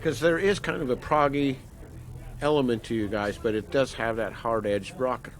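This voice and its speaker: A man conducts a casual band interview in a mid-pitched voice. He is informal and jokey, and his questions tend to ramble. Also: normal speed, poor audio.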